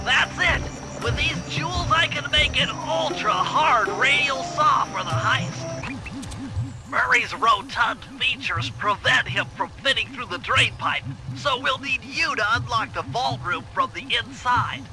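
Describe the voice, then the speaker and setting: An actor playing a fussy, confident, brainy turtle tactician who gives precise, technical briefings in a cartoon video game. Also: male voice, very high-pitched, unhurried, poor audio. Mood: triumphant. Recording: game music behind voice. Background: game music.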